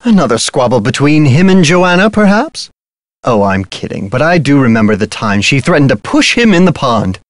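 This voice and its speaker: An actor playing a flamboyant, gossipy art dealer in a mystery game, with a melodramatic, florid delivery. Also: male voice, medium pitch, big pitch swings, moderate pace, no echo, lightly acted. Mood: teasing. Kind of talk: theatrical video game character acting.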